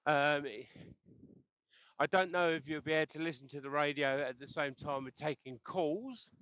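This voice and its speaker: A man's voice: a little high, moderate pace, fairly bright tone, no echo, dull audio. A man chatting casually while cycling outdoors, rambling and chuckling, recorded through a helmet headset mic. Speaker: cycling vlogger. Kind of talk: casual chat while riding. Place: outdoors on a bike on the road. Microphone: helmet intercom headset mic.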